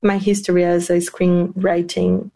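Flat, mellow woman interpreter. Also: lowish voice, unhurried, vocal fry. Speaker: interpreter voicing a guest. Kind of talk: English voice-over interpreting.

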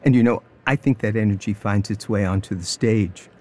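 Low, mellow-voiced male co-host. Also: brisk. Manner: polished, conversational announcer. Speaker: radio broadcast co-host. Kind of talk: scripted broadcast commentary.

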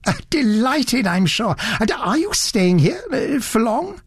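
A man voicing a small, fussy elderly gentleman who talks in a prim, fluttery, eager way. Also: extremely high pitch, very animated, slow, breathy edge, dry recording, pitched above the performer's own voice, full character voice. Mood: delighted.

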